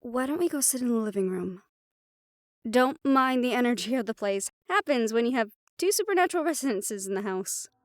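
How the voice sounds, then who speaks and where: An actress plays a woman medium with a high voice. She is friendly and brisk, chatting matter-of-factly as the keeper of a haunted house in an audio roleplay. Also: lively intonation, dry recording, studio quality, natural voice. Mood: knowing, composed.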